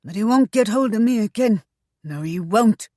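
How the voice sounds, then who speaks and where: A woman voicing a fat, bitter male pirate eunuch who gloats in rough tavern dialect. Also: medium pitch, expressive, unhurried, dry recording, clean studio sound, pitched above the performer's own voice, close to an everyday voice. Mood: bitter, gloating. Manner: coarse dialect, tavern bluster.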